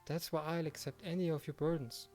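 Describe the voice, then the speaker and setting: Man playing earnest teenage boy, mellow voice. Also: on the high side, little pitch movement, a little fast, soft background music, voice pushed up, lightly acted. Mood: earnest, loving. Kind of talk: visual novel read-aloud.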